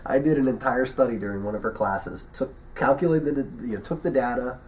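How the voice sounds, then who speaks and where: A man's voice: medium pitch, a little fast, darkish tone. Sniffly man rambling on a podcast. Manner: rambling, laughs at his own stories, restarts often. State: getting over the flu, sniffling. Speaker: podcast co-host. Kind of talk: casual podcast chat. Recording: voice a bit far from the mic, faint hiss.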